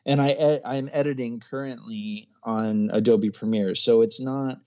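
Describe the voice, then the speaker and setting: A man's voice: medium pitch, fairly even intonation, a little slow, a little mellow, dull audio. A man in his thirties, a filmmaker guest in a casual interview, chatty and often laughing as he talks. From grew up overseas, American parents.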